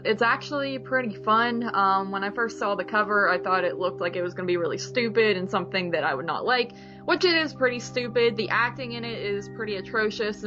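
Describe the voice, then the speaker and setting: Female podcast co-host, casual conversational movie chat, middling pitch, music underneath, slightly muffled recording.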